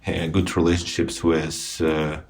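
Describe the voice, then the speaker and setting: A man's voice: rumbling low, level tone, a little slow, darkish tone. A man with a Ukrainian accent hosting a podcast, asking long, rambling questions full of fillers. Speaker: podcast host. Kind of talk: casual interview questions.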